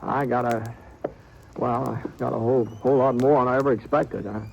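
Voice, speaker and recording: A man plays an easygoing American gunfighter from an old radio western. His voice sits at a middle pitch and he talks in a folksy, halting way, often repeating himself. The recording is old and clearly noisy. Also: steady tone, close to an everyday voice. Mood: grateful. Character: easygoing, good-natured drifting cowboy gunfighter narrating his tale. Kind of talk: 1950s radio western acting and first-person narration.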